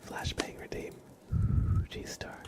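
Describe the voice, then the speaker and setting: A man hosting an ASMR livestream in a breathy whisper, speaking gently with playful mock-seriousness as he acts out little roleplays. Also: slow. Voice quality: breathy whisper, mostly unvoiced.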